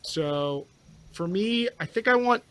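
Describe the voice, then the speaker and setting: A man's voice: sits high, animated, takes it slowly, a bit bright. A man talking casually as a guest on a podcast. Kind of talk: casual chat.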